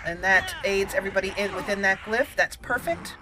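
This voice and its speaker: A woman's voice: mid-range voice, brisk, background noise. A woman giving casual, chatty video game commentary, talking through each step as she plays. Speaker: video game let's play host.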